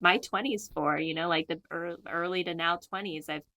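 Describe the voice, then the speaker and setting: A woman's voice: on the low side, normal speed, bright, forceful tone. A woman in her twenties from California, a guest on a podcast, chatting casually with lots of fillers and giggles. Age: 20s. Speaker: guest, new veterinarian.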